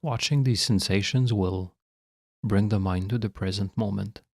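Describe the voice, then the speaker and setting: A man leading a guided meditation as a guide, speaking in a calm, measured, instructional way with long pauses. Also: fairly low pitch, no room sound, clean studio sound.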